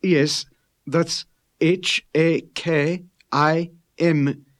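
A man voicing a polite visitor in a scripted textbook dialogue, speaking slowly and clearly. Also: a little high, steady tone.

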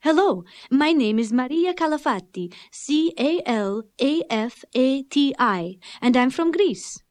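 A woman's voice: high-pitched, lively intonation, a little slow, no room sound, clean studio sound. A woman voicing a young student who carefully spells her name in a scripted textbook dialogue.

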